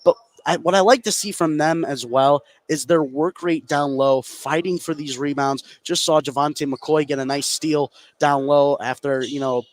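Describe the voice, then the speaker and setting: Higher-pitched man giving basketball color commentary. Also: fairly even intonation, moderate pace, hardly any pauses. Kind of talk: live sports analysis. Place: indoor basketball gymnasium.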